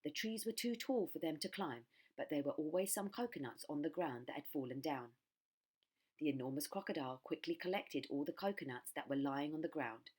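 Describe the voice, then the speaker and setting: Low, husky-voiced woman reading a children's story. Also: brisk, darkish tone. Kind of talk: expressive storybook narration for children.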